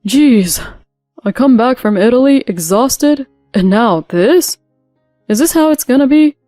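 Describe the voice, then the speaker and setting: A loud, aggressive middle-aged husband rants and scolds, as a woman narrator puts him on. The voice is mellow and a touch darker than usual, with a dry close sound. Soft music plays in the background. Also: on the high side, moderate pace, no room sound, close to an everyday voice. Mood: angry.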